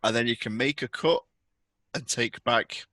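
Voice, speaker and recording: A man with a bright, crisp-edged voice in a dry recording. He runs a tabletop game in casual talk. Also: mid-range voice, unhurried, no echo. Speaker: game master.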